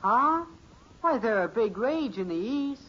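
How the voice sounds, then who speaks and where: Bright, excitable American teenage boy. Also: extremely high pitch, a little slow, heavily acted. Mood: eager, boastful. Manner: excitable, slangy, insistent. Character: eager, stubborn teenage American boy, big dreamer. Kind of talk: 1950s radio sitcom acting. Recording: muffled, noisy old radio recording.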